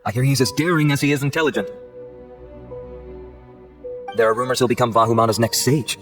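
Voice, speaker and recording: An actor playing a courteous, composed law officer in a video game, speaking politely and formally. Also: male voice, mid-range voice, brisk, faint music behind, lightly acted. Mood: courteous, interested.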